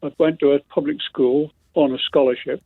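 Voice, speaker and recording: A middle-aged man with a West Country accent rings a radio phone-in, his voice fairly high for a man and coming through a thin, tinny phone line. He rambles through his story and laughs at himself as he goes. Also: steady tone, moderate pace, vocal fry, dry recording. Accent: West Country English. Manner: self-deprecating, laughing, rambling anecdote. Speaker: caller. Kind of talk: phone-in call.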